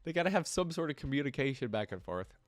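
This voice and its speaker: A male reaction host talks in an informal, think-aloud way. His voice sits at a middle pitch. The sound is dry, with little room in it. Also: big pitch swings, fast, dry recording. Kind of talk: casual reaction commentary and story reading.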